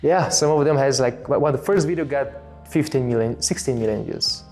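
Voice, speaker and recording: A man in his thirties from Bulgaria with a Bulgarian accent, telling his story in a relaxed interview, pausing often with fillers and restarts. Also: mid-range voice, faint music behind. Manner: halting, many fillers and restarts. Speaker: interview guest, filmmaker. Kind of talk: casual interview talk.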